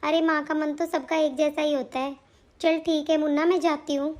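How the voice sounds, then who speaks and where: Woman voicing an older cartoon mother, very high pitch, plaintive, homely, worried about family, affectionate. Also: level tone, normal speed, natural voice. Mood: resigned. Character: weary, affectionate older mother-in-law, fretting over family. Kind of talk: Hindi family cartoon story dialogue.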